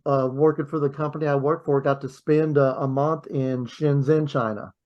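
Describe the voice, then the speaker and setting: A man hosting a podcast, chatting in a friendly, halting way and often repeating words. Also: a little high, fairly even intonation, darkish tone, highs cut off. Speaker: podcast host. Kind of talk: casual interview chat.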